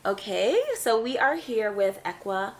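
A woman is hosting a relaxed, informal interview. Her voice sits at a middle pitch, and she talks conversationally, affirming her guest as she goes. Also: unhurried, faint music behind. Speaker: interviewer, host. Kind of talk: casual interview chat.

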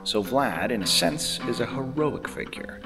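A man narrating a podcast episode in a measured, wry storytelling way. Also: mid-range voice, animated, in a large hall, over music. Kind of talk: scripted narration.

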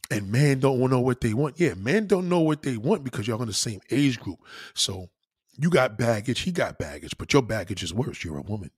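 A man's voice: medium pitch, sing-song, normal speed, husky, dry recording, clean studio sound. A man giving a blunt, profane opinion monologue in a casual, lecturing way, repeating points for emphasis. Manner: emphatic, repeats himself for stress.

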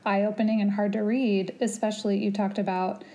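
A woman interviewer speaks in a mellow, warm tone with very little rise and fall. She frames her questions in a measured, appreciative way and slows down to read quotes. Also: mid-range voice, flat delivery. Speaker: podcast host.

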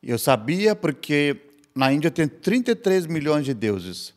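A man's voice: a little high, very animated, measured pace. Indian man telling his story earnestly. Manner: earnest storytelling in simple, slightly broken Portuguese. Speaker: missionary guest. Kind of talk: personal testimony in a podcast chat.

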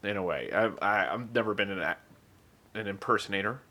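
A man with a Canadian-sounding accent hosts a loose conversation, his fairly low voice carrying a bright, forceful edge. He is curious and keeps asking the others questions. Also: fairly quick. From US Mid-Atlantic and San Diego. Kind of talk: casual group chat.

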